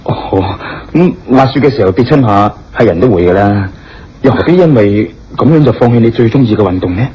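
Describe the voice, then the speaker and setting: An actor playing a courteous, curious and somewhat evasive adventurous gentleman in a radio drama. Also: fairly low pitch, animated, a little fast, breathy edge. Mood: apologetic. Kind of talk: Cantonese radio drama acting. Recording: dull lo-fi audio with background music.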